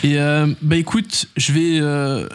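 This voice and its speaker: A man's voice: a little high, flat delivery, slow pace, clean tone. A man who works as a film journalist, co-hosting a casual film chat, talking with wry asides and thinking aloud. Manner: chatty, many fillers and restarts, wry asides. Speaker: film podcast co-host, film journalist. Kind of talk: casual chat about upcoming films.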